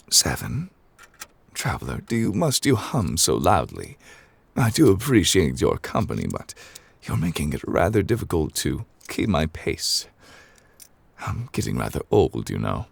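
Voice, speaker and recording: A man voicing a courteous, absent-minded ancient god in human form for an ASMR roleplay, speaking formally and wordily with polite apologies. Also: full character voice. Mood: counting softly, then distracted, apologetic. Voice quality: very low, creaky. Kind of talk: ASMR character roleplay, improvised.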